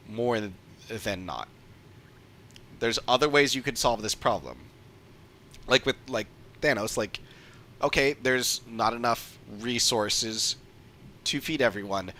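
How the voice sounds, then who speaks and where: A man's voice: on the low side, pushed and bright, a little hiss or hum. A man giving casual, off-the-cuff livestream commentary as a game streamer, emphatic and repeating points for stress.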